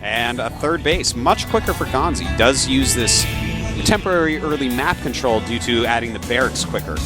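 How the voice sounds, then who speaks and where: A man giving measured, analytical commentary on a strategy game match, with dry humor. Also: medium pitch, expressive, over loud music. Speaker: esports commentator.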